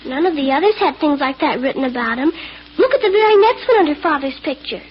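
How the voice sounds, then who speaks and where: A woman's voice: high voice, lively intonation, fast talker. A woman playing a guarded, sulky schoolgirl in a 1940s radio drama. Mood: proud. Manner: sulky, girlish. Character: guarded schoolgirl, proud of her absent father. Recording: dull vintage radio recording with hiss.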